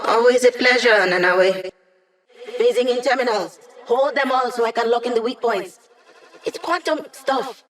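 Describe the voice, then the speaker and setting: Casting: playful young hacker for a video game played by an actor, mid-pitched, self-assured, casual teasing squad support. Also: distant mic, lightly acted. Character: playful, cocky young hacker sidekick guiding a villain squad.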